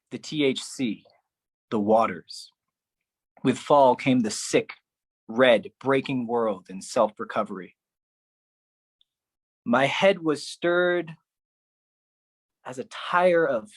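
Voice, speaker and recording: A man performing a theatrical spoken-word poetry monologue, phrased deliberately and dramatically, with shifting intensity. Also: medium pitch, animated, slightly rough, dry recording.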